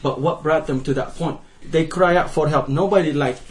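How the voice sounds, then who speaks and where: A man's voice: fairly high pitch, normal speed. Impassioned man from Iraq on a panel. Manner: impassioned, restarting and repeating himself. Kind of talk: panel discussion.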